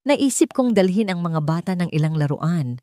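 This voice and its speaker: A woman's voice: lowish voice, expressive, moderate pace, warm tone, dry recording, clean studio sound, lightly acted. A woman voicing a glamorous young female rival in an audio story, gushing with false kindness that hides spite. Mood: fake sweetness. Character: glamorous young female rival, syrupy, fake-kind, venomous.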